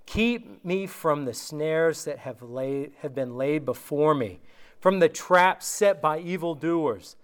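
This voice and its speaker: A man gives a casual sermon and reads scripture with slow, deliberate emphasis. His voice sits high for a man and sounds clean and smooth, though recent sickness has worn it down. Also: expressive. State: recovering from illness, voice going. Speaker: preacher. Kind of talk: informal sermon with scripture reading.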